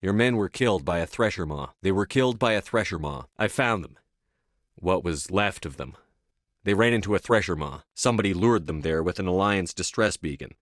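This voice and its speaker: Deep stern soldier hero from a sci-fi game, firm and clipped, super dry recording. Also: male voice, animated, a little fast, fairly bright tone, no echo. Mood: businesslike, serious. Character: stern, commanding male military officer and space agent. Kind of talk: video game voice acting, dramatic dialogue lines.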